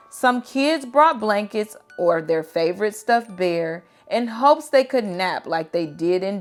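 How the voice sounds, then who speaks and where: Woman reading slowly to children over music. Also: medium pitch. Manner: slow, deliberate, dramatic storytelling for young children. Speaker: teacher reading picture books aloud. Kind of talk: expressive children's read-aloud.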